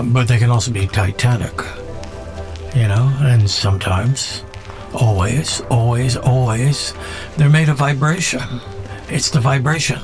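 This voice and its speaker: A man speaks as a podcast host in an unscripted, reflective monologue. His delivery is halting and musing, with words repeated for emphasis, and prominent music plays along behind his voice. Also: mid-range voice, poor audio.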